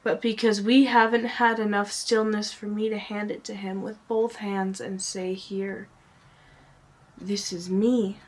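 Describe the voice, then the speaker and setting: Slow, hushed woman reciting confessional poetry. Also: mid-range voice, level tone, slight background noise, low-quality recording. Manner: earnest, drawn-out phrasing with pauses, often hushed. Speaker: poet. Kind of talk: dramatic spoken-word poetry reading, confessional monologue.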